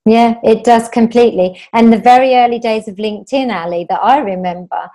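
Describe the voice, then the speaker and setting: A woman's voice: fairly high pitch, fairly quick, dark tone. A woman hosting a podcast interview, speaking with enthusiasm and emphasis, stringing ideas together in lists. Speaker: podcast host.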